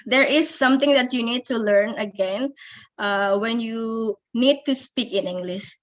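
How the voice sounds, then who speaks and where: Indonesian woman teacher, English as second language, slow hesitant delivery, slightly high voice. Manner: hesitant, many fillers and restarts. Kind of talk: English lesson.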